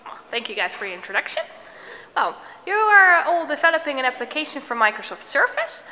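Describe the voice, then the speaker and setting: Lively bright-voiced woman interviewer. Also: high-pitched, a little fast, rough recording. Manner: friendly prompting questions, rephrases answers back. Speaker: teacher interviewing students. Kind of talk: casual on-site interview.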